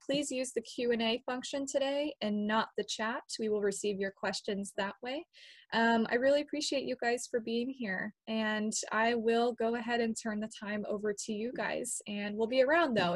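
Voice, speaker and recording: A woman's voice: a little high, no room sound. A woman hosting an online event in an informal, appreciative way as she welcomes people and introduces presenters. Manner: appreciative, thanking.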